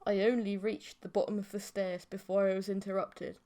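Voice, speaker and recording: A woman's voice: medium pitch, little pitch movement, a little fast, mellow. A woman narrating a scripted first-person audiobook story.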